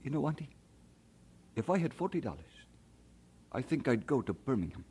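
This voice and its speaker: A man's voice: medium pitch, sing-song, a little fast, darkish tone, a little hiss or hum, lightly acted. An actor playing a homesick, hesitant young immigrant man in an old radio drama. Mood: restless, pondering. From Scotland. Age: young adult. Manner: hesitant, musing, given to chuckling.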